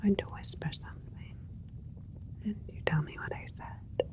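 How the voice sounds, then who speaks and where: A woman playing a gentle alien scientist in an ASMR medical roleplay, whispering in a breathy, soothing, politely clinical way. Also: normal speed, close to an everyday voice. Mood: attentive, clinical.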